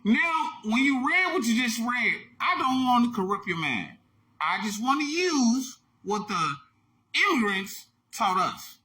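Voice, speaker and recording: A man hosting a short online video, giving emphatic, repetitive commentary that keeps bursting into shouts. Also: high voice. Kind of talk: animated social media commentary.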